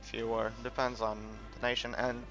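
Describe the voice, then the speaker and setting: A man's voice: mid-range voice, monotone, normal speed, vocal fry, a bit bright. A man from the UK giving a casual, unscripted game walkthrough, rambling and hesitant as he thinks aloud. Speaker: gamer making a game tutorial. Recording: muffled, dull recording with background music.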